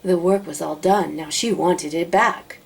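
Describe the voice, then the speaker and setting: A woman narrating a children's storybook aloud, expressively, with dramatic drawn-out emphasis. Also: on the low side, gravelly, breathy edge. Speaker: storybook reader.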